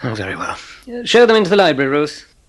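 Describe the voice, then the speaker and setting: Jovial father, hearty old-fashioned reassurance, lively intonation, audiobook drama. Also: on the high side, quick pace. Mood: distracted. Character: kindly, jovial middle-class English father.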